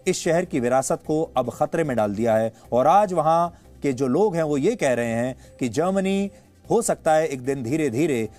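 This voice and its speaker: A male news anchor with an Indian accent delivering opinionated commentary in a deliberate, emphatic way with rhetorical repetition. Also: fairly high pitch, lively intonation, a little mellow. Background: background music.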